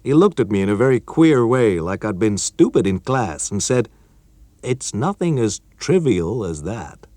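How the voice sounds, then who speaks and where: A man's voice: fairly low pitch, very animated, unhurried, darkish tone, dry recording, pitched above the performer's own voice. A man reading a story, voicing an urgent, nervous young male technician in a raised, jumpy voice.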